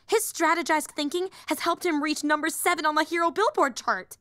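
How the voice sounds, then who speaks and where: A woman's voice: sits high, fairly quick, fairly bright tone, no room sound, clean studio sound. A woman voicing a young, nerdy female hero fan and teacher in an audio roleplay, eager, rambling and easily flustered. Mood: earnest, rambling.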